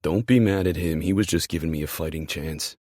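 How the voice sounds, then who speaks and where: A man playing a protective, stubborn young athlete in an audiobook, earnest and emphatic with a husky voice. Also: sits low, brisk, darkish tone, no room sound, studio quality. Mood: firm. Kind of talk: audiobook character dialogue.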